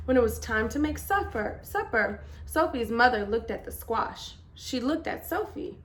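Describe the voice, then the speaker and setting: A woman reading a children's picture book aloud as storyteller, with expressive, deliberate emphasis. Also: fairly high pitch.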